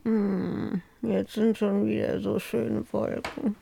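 A woman's voice: mid-range voice, little pitch movement, slow, vocal fry, dark tone, slight background noise. An actress playing a frail, polite old woman in a radio play, hesitant and absent-minded. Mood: confused, dreamy. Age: older. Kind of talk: quiet naturalistic radio play acting.

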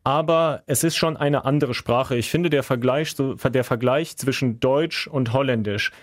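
A man co-hosting a podcast talks things through calmly, plain and matter-of-fact. His voice sits at an ordinary middle pitch, recorded close with a dry, dead sound. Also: a little slow, no echo. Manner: calm, plain explaining. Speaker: podcast co-host. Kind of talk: casual explanatory chat.

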